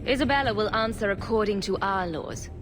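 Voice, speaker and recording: A woman playing a composed, diplomatic young heroine in a video game, speaking in a measured, resolute way. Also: mid-range voice, natural voice. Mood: calm, firm. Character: composed, diplomatic young adult female hero. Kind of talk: video game cutscene acting. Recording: game music behind.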